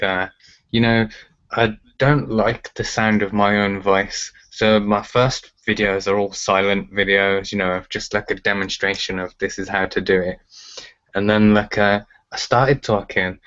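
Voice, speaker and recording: A man's voice: on the low side, fairly bright tone, lo-fi sound. A man talking as an interview guest, rambling and filling with "like" and "uh". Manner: rambling, fills with "like" and "uh". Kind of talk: casual interview chat.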